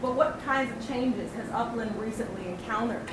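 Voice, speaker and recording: Young woman presenting in an echoey hall. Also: a little high. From Northern California. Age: young adult. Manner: measured, with some fillers. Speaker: program presenter. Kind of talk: prepared presentation to a community audience. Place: large hall with audience. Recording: echoey room, far from mic, noisy.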